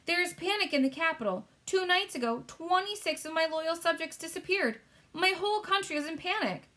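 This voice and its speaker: A woman's voice: very high-pitched, a little fast, sharp tone, pitched above the performer's own voice. A woman voicing an agitated, indignant king with an emphatic, exclaiming manner in a storybook reading. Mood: panicked.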